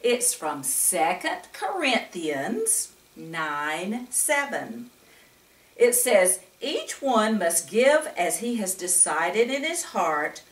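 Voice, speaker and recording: A woman's voice: mid-range voice, fairly bright tone. An older woman reading a story and teaching a Bible lesson to children in a grandmotherly way, with questions and asides. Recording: echoey room, distant microphone.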